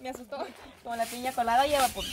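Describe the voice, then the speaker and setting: Fast-talking young Salvadoran woman. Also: a little high, level tone, crowd noise. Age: young adult. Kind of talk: casual chat. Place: outdoors under a tin-roofed shelter.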